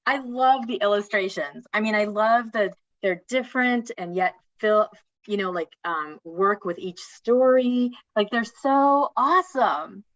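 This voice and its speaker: A woman's voice: medium pitch, measured pace, no echo. A woman casually hosting a panel interview, heard through dull, lo-fi audio. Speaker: interview host.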